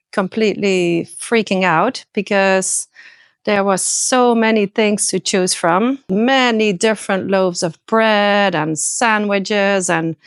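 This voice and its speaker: Woman with a smooth, clear voice, recorded in a close, dry setting. She tells a casual story at an even pace. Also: mid-range voice, dry recording. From Netherlands. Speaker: interviewee, resort owner.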